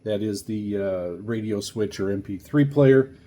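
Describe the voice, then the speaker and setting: A man hosting a casual product unboxing, reading aloud and commenting in a halting way with frequent uhs and pauses. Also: fairly low pitch, warm tone, a little hiss or hum. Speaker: radio hobbyist reviewer, video host.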